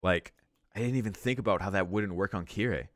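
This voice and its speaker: A man reading a visual novel's story aloud for a let's play, breaking into casual, excitable reactions with some swearing. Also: low-pitched, brisk, dry recording. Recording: music behind the voice.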